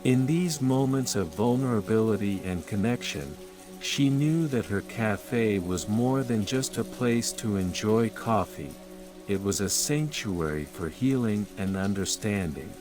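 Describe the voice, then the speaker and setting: A man narrating a sleep story in a calm, measured, soothing way, as scripted bedtime storytelling. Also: lowish voice. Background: calm music and rain sounds.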